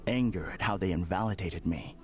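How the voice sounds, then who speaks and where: An actor playing an unsettlingly calm, formal villain in a game cutscene, speaking in a measured, courteous way. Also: male voice, sits low, soft background music, close to an everyday voice. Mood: serenely polite. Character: eerily serene polite man, unsettling villain. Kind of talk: video game cutscene dub.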